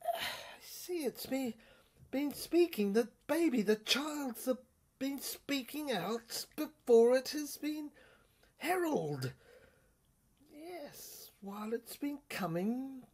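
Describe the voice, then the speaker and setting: Husky woman, theatrical fragmented reading. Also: high-pitched, expressive, takes it slowly, darkish tone. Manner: fragmented, theatrical delivery in short broken phrases. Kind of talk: dramatic reading of an experimental audio screenplay.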